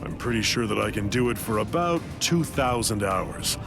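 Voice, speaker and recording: An actor playing a grave, knowing warrior mentor in an anime game, explaining seriously and with command. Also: male voice, on the low side, animated, moderate pace, vocal fry, music in the background. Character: grave, knowing Soul Reaper mentor to a young fighter. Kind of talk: English anime game voice acting.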